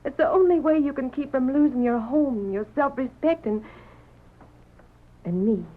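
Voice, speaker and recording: Western heroine: highish American voice, determined, pleading, proud rancher's wife, narrow old radio sound. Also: female voice, moderate pace. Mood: earnest pleading. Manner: earnest, pleading, dramatic.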